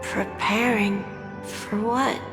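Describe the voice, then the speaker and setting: An actress playing a small, innocent boy in a video game, soft, curious and encouraging in a simple childlike way. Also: mid-range voice, steady tone, extremely slow. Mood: curious, plaintive. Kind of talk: dramatic video game voice acting. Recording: echoing long hall reverb, music underneath. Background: new-age music.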